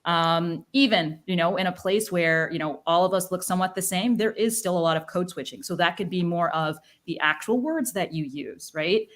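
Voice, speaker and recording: Woman, low voice, fast and even delivery, tags sentences with "right?". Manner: quick, flat emphasis, tag "right?". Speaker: guest, leadership coach. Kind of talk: podcast interview.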